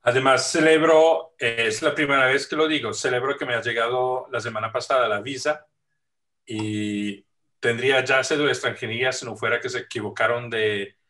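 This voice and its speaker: A man from Italy with an Italian accent, speaking as a guest on a video call in a warm, reflective way with frequent pauses. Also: medium pitch, fairly even intonation. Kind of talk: casual video-call interview.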